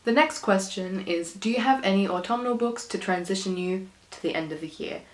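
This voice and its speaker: A young Australian woman chatting casually about books to camera, a bit hoarse from illness, rambling and laughing often. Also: mid-range voice, fairly quick. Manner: chatty, giggly, rambling with fillers. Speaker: book vlogger. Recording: echoey room.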